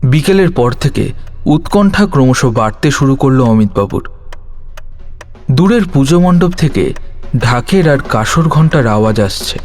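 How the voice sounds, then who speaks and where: A man's voice: medium pitch, lively intonation, measured pace, darkish tone, faint music behind. A man narrating a scripted horror story in a dramatic, suspenseful way.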